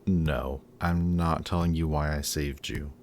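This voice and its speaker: A man's voice: rumbling low, fairly even intonation, a little slow, faint music behind. A man playing a guarded supervillain in a roleplay audio drama, sardonic and awkward whenever he shows that he cares. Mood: guarded, boastful. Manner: sardonic, hesitant when showing care. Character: gruff, guarded male supervillain, secretly caring, sardonic.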